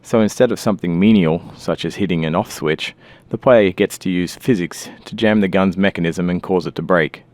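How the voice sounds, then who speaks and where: A man's voice: low-pitched, moderate pace, darkish tone, dry recording. A man giving scripted commentary as a game developer.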